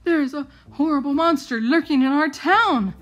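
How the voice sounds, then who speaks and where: A woman's voice: sits high, normal speed, lightly acted. A woman voicing frightened, melodramatic crayon townspeople in a storybook, exclaiming in fretful horror.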